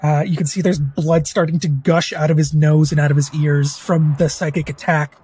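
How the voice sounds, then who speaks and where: A player with a husky voice vividly describing their character in a tabletop game. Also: mid-range voice, fairly even intonation, moderate pace, darkish tone.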